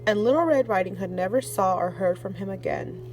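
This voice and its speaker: A woman's voice: mid-range voice, a little fast, faint music behind, poor audio. A woman reading a children's fairy tale aloud as a storyteller, then talking directly to the kids. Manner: expressive read-aloud, warm sign-off to kids.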